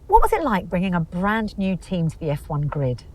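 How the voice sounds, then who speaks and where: A woman's voice: on the low side, big pitch swings, normal speed, noisy background. A woman playing a probing documentary interviewer in a racing game. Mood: curious.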